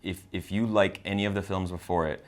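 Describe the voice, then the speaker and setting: A man's voice: sits low, steady tone, a little fast. A man co-hosting a film review in casual chat, enthusiastic and emphatic. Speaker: co-host of a film review show.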